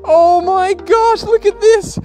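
A man in his thirties with an Australian accent, chatting casually and excitably as he hosts an outdoor vlog. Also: very high voice, takes it slowly, soft background music. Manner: excitable, exclaiming. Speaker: host of a magnet fishing vlog. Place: outdoors beside a canal. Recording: outdoor noise, traffic and ambience.

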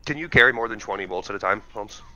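A man running a tabletop game as game master, recapping in a loose, halting way with many fillers. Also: on the low side, bright, forceful tone. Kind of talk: casual tabletop game session over voice chat. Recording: dull lo-fi voice chat with faint hiss.

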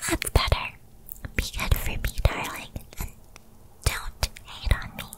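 A woman voicing a possessive, lovestruck young witch girlfriend in an ASMR roleplay, in a breathy, cooing and controlling manner. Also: measured pace, lightly acted. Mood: scolding.

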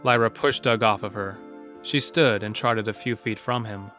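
A man's voice: lowish voice, steady tone, normal speed, bright, forceful tone. A man reading scripted narration for a horror story as its narrator. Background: faint music.

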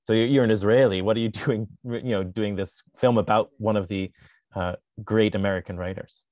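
A man's voice: fairly low pitch, fairly even intonation, fairly quick, a little mellow, dry recording. A man in his thirties moderating a video call interview, asking questions conversationally. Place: small room indoors.

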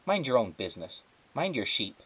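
A man voicing a cynical, earnest young girl from a storybook, bossy and excitable, talking in an emphatic conversational way. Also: mid-range voice, normal speed, slightly rough, low-quality recording. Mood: scornful.

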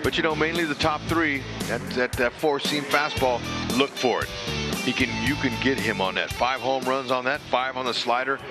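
A man's voice: mid-range voice, normal speed, loud music behind the voice. A man giving baseball color commentary in a folksy way, often stopping and restarting his sentences. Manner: folksy, animated, with repeated restarts.

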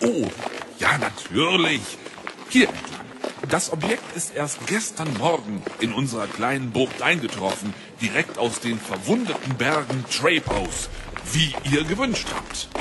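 An actor in a German audio drama playing a shifty, obsequious gang leader with menace underneath and theatrical delivery. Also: male voice, medium pitch, big pitch swings, a little slow, noisy background. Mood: fawning.

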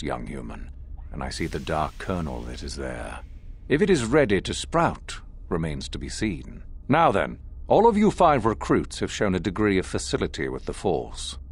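A man's voice: low voice, breathy edge, full character voice. A man playing a commanding older Sith master in a video game, speaking in theatrical, condescending oratory. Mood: ominous. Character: commanding older male Sith master, sinister, solemn and goading. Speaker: academy master addressing recruits. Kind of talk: dramatic scripted video game acting.

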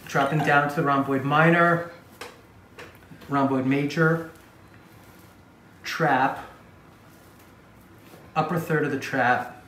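A man's voice: on the high side, fairly even intonation, slow, pauses often, pushed and bright, a little hiss or hum. A man in his fifties, a chiropractor, casually and reassuringly explaining and asking questions as he works on a patient. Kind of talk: explaining and questioning during a hands-on treatment. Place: small indoor treatment room, behind a face mask. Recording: echoey room.

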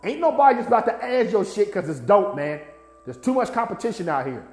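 An American man speaks in a somewhat high-pitched voice, explaining things bluntly and emphatically with slang and swearing. The room adds clear echo, and music plays underneath. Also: very animated, brisk. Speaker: music promoter and video host. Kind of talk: opinionated explainer to camera. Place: small indoor office studio.

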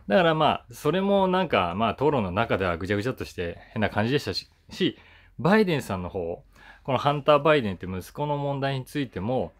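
A man's voice: mid-range voice, sing-song, fast talker. A man in his forties chatting casually to camera in a musing, conversational way, stressing the starts of his sentences. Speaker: voice actor hosting his own talk channel.

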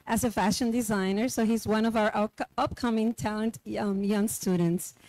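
A woman's voice: on the high side, a little mellow, no echo, rough recording. Woman introducing students at a podium. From Puerto Rico. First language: Spanish. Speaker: professor and design school director. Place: indoor university event stage. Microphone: podium microphone on a stand.

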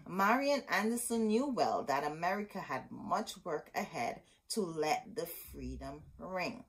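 A middle-aged woman hosting a storytime, reading a picture book aloud expressively with dramatic, deliberate phrasing and asides to her listeners. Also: on the low side, a bit bright, breathy edge, poor audio. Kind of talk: expressive children's read-aloud. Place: small indoor room with bookshelves.

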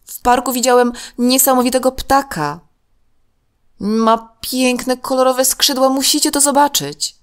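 A woman's voice: high voice, a little slow, clear, resonant tone, voice pushed up. A woman voicing a remorseful, pleading young boy in a children's story. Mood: excited. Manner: pleading, earnest. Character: remorseful young boy confessing his lies to friends.

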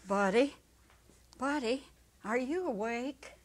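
An elderly woman playing a warm, spirited, plain-spoken old country woman in a dramatic story reading, folksy and earnest. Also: a little high, takes it slowly, slight background noise. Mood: excited. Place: indoor stage set, seated at a music stand.

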